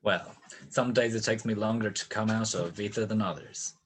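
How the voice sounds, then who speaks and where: An actor playing a tough, sardonic private detective and narrator in 1940s radio style. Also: male voice, fairly low pitch, fairly even intonation, normal speed, a little hiss or hum, close to an everyday voice. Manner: sardonic, wisecracking.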